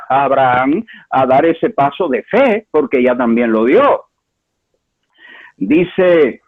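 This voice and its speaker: A man from Cuba preaching a sermon as pastor, with emphatic delivery that swings between high exclamations and low asides, repeating words for effect. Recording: tinny phone-like line.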